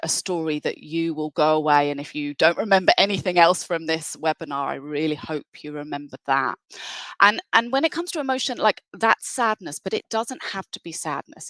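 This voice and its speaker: A woman's voice: fairly low pitch, a bit bright, no room sound. A woman presenting a webinar in an enthusiastic, conversational way. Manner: enthusiastic, conversational, with fillers and restarts.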